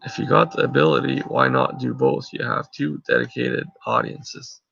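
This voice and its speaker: A man's voice: medium pitch, huge pitch swings, moderate pace, creaky, fairly bright tone, breathy edge, lo-fi sound. A man whose first language is French, chatting casually and rambling with viewers during a live stream from a tent. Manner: rambling, many fillers and restarts. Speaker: channel host. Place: inside a tent.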